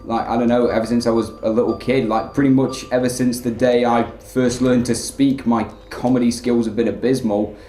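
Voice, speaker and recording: A man giving rambling, filler-heavy let's play commentary in a flat, monotone way. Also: medium pitch, fairly quick, hardly any pauses, roomy sound, faint music behind. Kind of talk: casual gameplay commentary.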